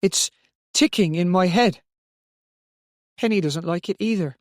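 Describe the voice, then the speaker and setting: A man's voice: sits high, unhurried, no echo, clean studio sound, pitched above the performer's own voice. A man voicing a frightened, bewildered little girl in an audiobook story, hesitant and faltering.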